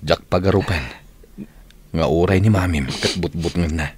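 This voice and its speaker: Low-voiced, brooding older husband acted by a man. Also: steady tone, normal speed, close to an everyday voice. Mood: shocked, questioning. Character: bitter older husband, brooding over past wrongs. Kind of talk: Ilocano radio drama acting.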